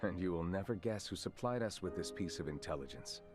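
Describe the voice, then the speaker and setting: A man's voice: sits low, lively intonation. An actor playing a composed, formal male military commander in a video game cutscene. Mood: measured. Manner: formal, measured, courteous. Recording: game cutscene with music behind.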